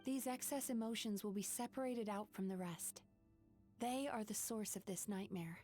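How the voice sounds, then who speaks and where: A woman voicing a calm, measured female dream-eating spirit who heals in a game. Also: medium pitch, faint music behind, lightly acted.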